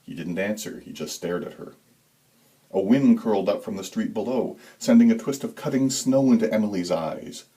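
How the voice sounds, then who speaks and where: A man narrates a story in a fairly low voice. His delivery is deliberate and dramatic, with expressive emphasis that builds suspense. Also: poor audio. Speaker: author reading own story.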